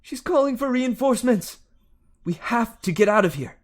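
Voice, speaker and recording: A man voicing a bossy young heroine in a storybook, yelling urgent warnings. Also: very high-pitched, lively intonation, moderate pace, voice pushed up. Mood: panicked urgency. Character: frantic, bossy young girl hero shouting urgent warnings.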